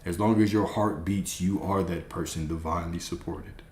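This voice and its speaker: A man's voice: sits low, fairly even intonation, normal speed, darkish tone, roomy sound. A man hosting a live stream, giving an emphatic spiritual pep talk and repeating his key phrases.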